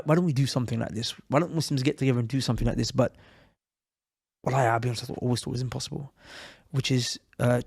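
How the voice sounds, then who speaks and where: A man's voice: medium pitch, darkish tone, slightly rough, no room sound, clean studio sound. A man hosting a podcast, asking questions in an eager, rambling way. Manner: enthusiastic, rambling with restarts. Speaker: podcast host. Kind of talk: casual interview.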